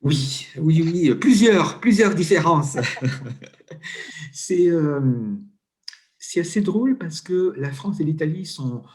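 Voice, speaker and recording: A middle-aged man with an Italian accent, speaking French as an interview guest and pausing often with fillers and restarts. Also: fairly high pitch, darkish tone. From Italy. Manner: hesitant, many fillers and restarts. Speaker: interview guest, coach and HR consultant. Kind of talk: conversational interview.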